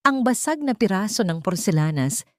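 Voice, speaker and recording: A woman's voice: mid-range voice, big pitch swings, normal speed, no echo, clean studio sound. A woman with a Filipino accent giving a dramatic scripted narration of an audio story.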